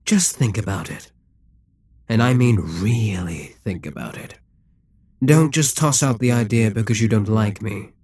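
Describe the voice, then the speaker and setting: A smug, dominant Demon King, acted by a man, speaks with a husky, breathy voice in a very dry, close-sounding ASMR roleplay. He takes his time, taunting and persuading with slow, deliberate menace. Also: lowish voice, expressive, darkish tone, no echo. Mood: persuasive, coaxing. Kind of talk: dramatic ASMR roleplay monologue.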